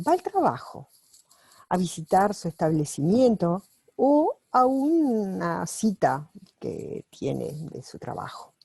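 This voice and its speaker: Slow, mellow-voiced woman reading aloud. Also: medium pitch, sing-song, vocal fry, husky, no echo. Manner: unhurried and careful, with pauses and self-corrections while reading. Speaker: reader translating a book aloud. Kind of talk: reading a nonfiction book aloud, translating as she goes. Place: at home, with her dog nearby.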